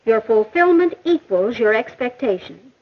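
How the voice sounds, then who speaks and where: An American actress playing a patient, instructive female mentor in a 1950s educational film, explaining in a measured, didactic way. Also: fairly high pitch, animated. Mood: encouraging, instructive. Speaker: teacher or counselor in an educational film. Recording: muffled old 1950s film soundtrack.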